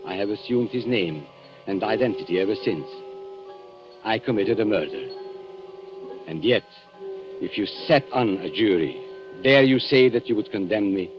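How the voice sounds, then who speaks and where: An actor playing a suave, coolly calculating antique dealer who kills, smooth, mock-polite and theatrical, in a 1940s radio thriller. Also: male voice, fairly low pitch, lively intonation, normal speed, pauses often, natural voice. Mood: grave, confessional. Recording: dull 1940s radio recording with music.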